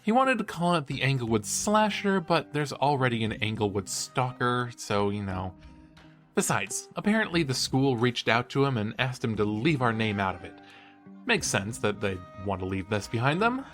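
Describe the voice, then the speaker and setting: An eager young college student co-hosting a true-crime podcast in an audio drama. His pitch moves in a lively, playful way and his delivery is theatrical and cheeky. Music plays softly behind him. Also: moderate pace. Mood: eager, speculating. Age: young adult. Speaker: podcast co-host. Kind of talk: scripted banter in a horror audio drama.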